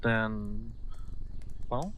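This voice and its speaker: A man playing a gentle, wry nobleman in an intimate audio roleplay, soft-spoken and a little hesitant, with formal wording. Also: lowish voice, animated, extremely slow, slight background noise, full character voice. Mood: gentle, reassuring. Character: gentle, wry young nobleman comforting a guest. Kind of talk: intimate scripted audio roleplay, addressed to listener.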